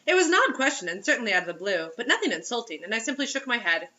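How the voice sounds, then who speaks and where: A woman's voice: on the high side, big pitch swings, rapid-fire, sharp tone, dull audio. A woman giving a theatrical, giggly, mocking read-aloud of amateur fiction, with asides. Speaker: amateur reader of bad fiction.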